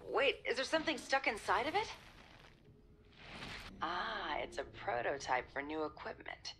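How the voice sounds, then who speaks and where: A woman's voice: mid-range voice, sing-song, moderate pace, slight background noise. A woman playing a tough young special operative in a video game, terse, guarded and dry. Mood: cool, dry. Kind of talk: video game cutscene acting. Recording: loud game music behind.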